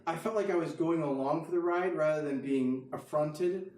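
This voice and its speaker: Higher-voiced man, distant in an echoey room. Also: moderate pace, a little mellow. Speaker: podcast co-host. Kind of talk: casual discussion.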